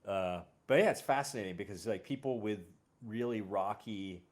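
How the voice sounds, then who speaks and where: A man's voice: fairly low pitch, moderate pace, darkish tone. A man hosting a casual conversation about music, talking in a rambling, self-correcting way. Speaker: host.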